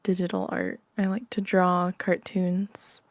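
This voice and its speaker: A woman's voice: on the low side, level tone, takes it slowly, no echo. A young adult woman chatting casually as an interview guest, giggly and informal, often hedging what she says. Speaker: podcast guest, audio roleplay creator.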